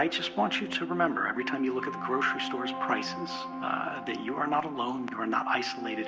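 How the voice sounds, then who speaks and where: A man speaks publicly in an earnest, halting way, leaning on rhetorical questions. His voice has a lot of vocal fry and sits at an ordinary male pitch. Prominent music plays beneath the speech. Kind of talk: political conference speech. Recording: loud music under a speech. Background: loud background music.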